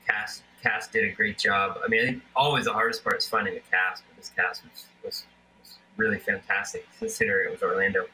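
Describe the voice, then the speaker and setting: A man's voice: fairly low pitch, fairly even intonation, brisk, pushed and bright, slight background noise, low-quality recording. A man, an independent filmmaker, talking casually about his film with many fillers and restarts. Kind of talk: casual interview chat.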